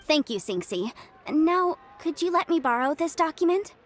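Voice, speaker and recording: Breezy high-voiced young legal advisor. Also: female voice, very animated, normal speed. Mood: professional. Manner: breezy, confident, articulate. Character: young female legal advisor, bright, self-assured, casual. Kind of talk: video game voice acting.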